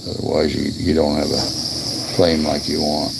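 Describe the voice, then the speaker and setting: Very deep, gravelly man, casual talk. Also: level tone, normal speed, very noisy. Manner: folksy, colloquial speech. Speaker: host of a lighter repair livestream. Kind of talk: casual hands-on demonstration chat.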